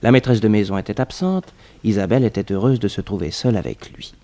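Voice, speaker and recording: A man's voice: on the low side, fast, dark tone. A man narrating a scripted French radio serial in the 1960s manner.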